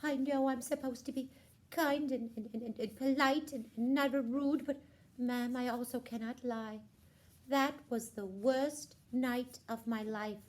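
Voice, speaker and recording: A woman's voice: fairly high pitch, fairly even intonation, a little slow, a little mellow, natural voice. A woman voicing a dramatic, fussy and frank young princess in a children's puppet show. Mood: indignant.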